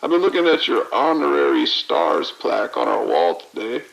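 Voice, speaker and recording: A man's voice: lowish voice, wildly swooping pitch, moderate pace, gravelly, fairly bright tone, slight background noise, exaggerated voice, low-quality recording. A man playing a weary, overwhelmed but friendly police officer in an audio drama, talking informally with exasperation. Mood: harried, friendly. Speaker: police officer at operations desk. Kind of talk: audio drama acting, phone call.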